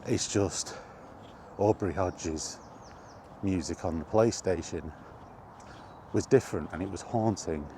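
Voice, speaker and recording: A man's voice: sits low, takes it slowly, long pauses, warm tone, a little hiss or hum. A middle-aged man rambling casually in a personal gaming vlog, reminiscing in an informal way. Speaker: gaming vlogger. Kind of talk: casual rambling chat about retro gaming.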